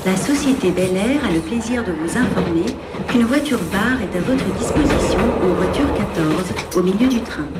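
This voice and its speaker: A woman's voice: medium pitch, expressive, normal speed, close to an everyday voice. A woman playing a formal on-board announcer reading a scripted announcement in a radio drama. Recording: announcement under loud train noise.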